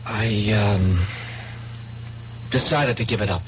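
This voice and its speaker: An actor playing a hesitant, insecure painter in a 1970s radio drama, halting and earnest. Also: male voice, fairly low pitch, a little hiss or hum. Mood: uneasy. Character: hesitant, insecure painter seeking his lover's reassurance. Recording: dull, humming 1970s radio recording.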